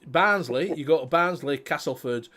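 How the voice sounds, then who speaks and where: A man's voice: on the high side, animated, normal speed, pushed and bright. A man with a Leeds Yorkshire accent hosting a casual livestream chat, rambling and swearing and repeating himself. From Leeds, England. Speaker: host.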